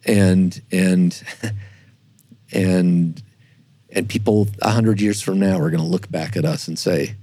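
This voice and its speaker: Low, husky man speaking haltingly. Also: fairly even intonation, mellow. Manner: halting, repeats words while thinking aloud. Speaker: church historian, podcast guest. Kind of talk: reflective unscripted discussion.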